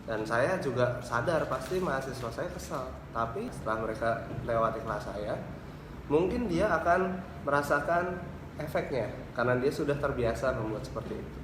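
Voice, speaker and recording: A man's voice: medium pitch, lively intonation, moderate pace. A man from Indonesia answering interview questions as a university lecturer, explaining things point by point. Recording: echoey room with clear background noise.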